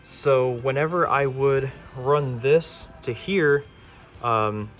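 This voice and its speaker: A man's voice: mid-range voice, takes it slowly, fairly bright tone, soft background music. A man talking casually through a hands-on DIY electronics project as he presents it.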